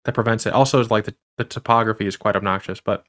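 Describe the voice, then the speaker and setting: Fast-talking man hosting a podcast. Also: on the low side, fairly even intonation, fairly bright tone. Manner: rambling, filler-heavy questions with many restarts. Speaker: podcast host. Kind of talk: casual interview chat.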